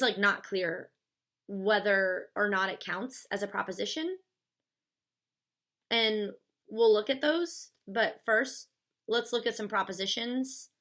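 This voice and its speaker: A woman's voice: mid-range voice, bright, forceful tone. A woman in her thirties explaining a philosophy concept in a casual, chatty classroom way, with "like" and "stuff" as fillers. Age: 30s. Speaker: philosophy lecturer.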